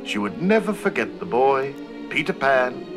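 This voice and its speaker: A man's voice: mid-range voice, big pitch swings, moderate pace, over music. A man reading a scripted children's story as narrator of a storybook record.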